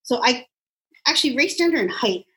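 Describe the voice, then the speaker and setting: A woman's voice: high voice, expressive, brisk. Chatty woman in her forties. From Los Angeles, United States. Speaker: guest, doctoral candidate and youth minister. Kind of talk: casual conversation.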